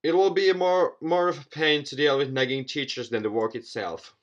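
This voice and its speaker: A man's voice: medium pitch, steady tone, moderate pace, highs cut off. A young man hosting a gaming video, reading visual novel narration aloud with asides and stumbling over repeated words. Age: young adult. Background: music.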